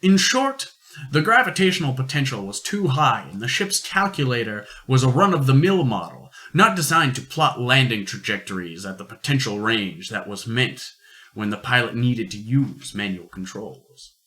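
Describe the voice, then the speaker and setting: A man narrating an amateur science-fiction radio play in deliberate storytelling phrases. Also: medium pitch, animated, pushed and bright.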